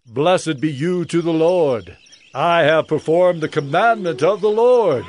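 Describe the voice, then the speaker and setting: A man's voice: on the high side, animated, a little slow, darkish tone, slight background noise. An actor playing a proud, insistent warrior king in a dramatized scripture reading, declaiming with emphasis. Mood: self-assured.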